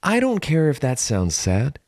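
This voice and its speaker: A man in his forties co-hosting a podcast in casual, joking chat with a deadpan, self-mocking manner. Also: mid-range voice, measured pace, no room sound, clean studio sound. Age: 40s. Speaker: podcast co-host.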